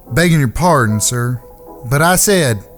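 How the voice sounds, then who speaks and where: A man's voice: mid-range voice, lively intonation, slow pace, soft background music. A man playing a touchy, reckless outlaw with a folksy drawl and mock-courteous wordiness in a comedic western audio drama. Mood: indignant politeness. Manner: mock-courteous, wordy, indignant.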